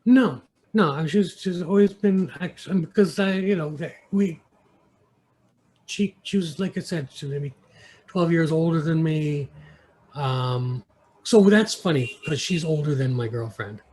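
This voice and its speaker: A man's voice: on the high side, a little mellow, poor audio. A man from New York with an American accent, talking casually as an interview guest and searching for words. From United States, New York. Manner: halting, searching for words, thoughtful.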